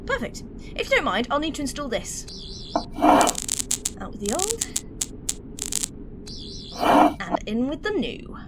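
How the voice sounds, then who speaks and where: A woman playing a quick-witted time-travelling alien adventurer in a science fiction audio drama, brisk, wry, playful and confident. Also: high-pitched, big pitch swings. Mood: breezy, excited. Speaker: lead heroine. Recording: loud noise bed behind voice.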